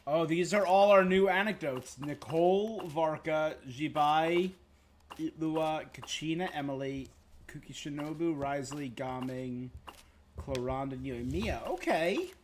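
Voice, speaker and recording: A man's voice: on the high side, measured pace, slight background noise. A man livestreaming a video game with casual commentary.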